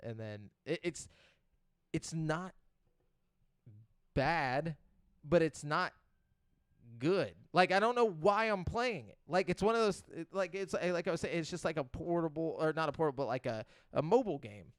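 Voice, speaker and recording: A man's voice: fairly high pitch, animated, a little fast, no room sound, studio quality. A man hosting a casual gaming podcast, talking in a blunt, skeptical, sweary way. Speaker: podcast host.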